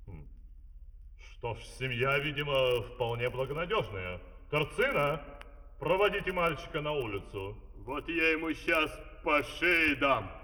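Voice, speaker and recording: Excitable pompous ruler, fairy-tale opera, swooping intonation, slow declamation, long hall reverb. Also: male voice, fairly high pitch. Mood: suspicious, stern. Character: imperious, excitable male ruler giving orders in comic fairy-tale opera. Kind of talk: theatrical Soviet fairy-tale audio opera acting. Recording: distant, long hall reverb, vinyl transfer.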